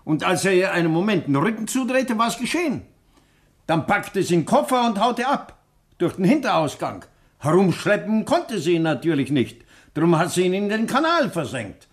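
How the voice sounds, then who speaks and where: An actor playing a gloating, sneering villain in a 1960s German radio crime drama, mocking and goading. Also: male voice, high-pitched, big pitch swings, moderate pace. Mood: sly, incriminating. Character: gloating, sneering male villain, bitterly amused accomplice.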